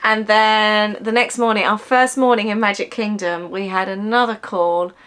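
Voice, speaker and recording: A woman, chatting casually as a travel vlogger and telling stories in an animated way. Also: medium pitch, moderate pace, clean tone. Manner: animated storytelling, finishes her partner's sentences. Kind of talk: casual chat.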